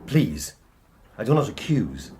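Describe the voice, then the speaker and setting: An actor playing a sympathetic listener in an audiobook drama, gentle and formal in manner. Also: male voice, fairly low pitch, sing-song, slow, a little hiss or hum. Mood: apologetic concern. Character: sympathetic male listener to a confession.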